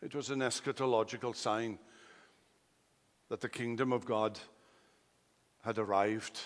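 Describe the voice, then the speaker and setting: A man with a Welsh accent, a native Welsh speaker, preaching an expository sermon, emphatic, swinging between loud declarations and quiet asides. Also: mid-range voice, steady tone, unhurried. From Wales. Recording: long hall reverb.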